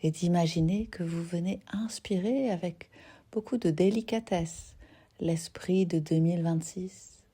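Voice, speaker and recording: A woman teaching yoga nidra, guiding a relaxation gently and unhurriedly in short phrases with soft, breathy endings. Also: on the low side, a little slow. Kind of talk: guided relaxation meditation.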